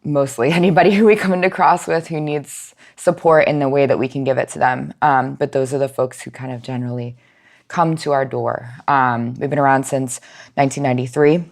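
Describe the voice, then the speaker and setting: A woman speaking as an interview guest, explaining informally with measured, hesitant pauses. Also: a bass voice, fairly even intonation, gravelly. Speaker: nonprofit outreach manager, podcast guest.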